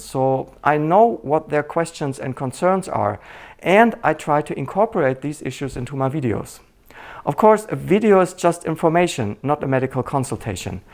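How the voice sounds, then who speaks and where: A middle-aged man with a German accent, a urologist hosting his channel, giving a scripted introduction in an earnest, dryly humorous way. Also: fairly high pitch.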